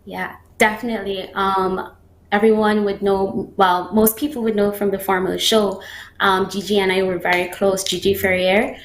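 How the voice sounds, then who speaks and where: A young Barbadian woman in her twenties, a guest athlete, answering interview questions in a relaxed, giggly way with lots of ums. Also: mid-range voice, normal speed, roomy sound, poor audio.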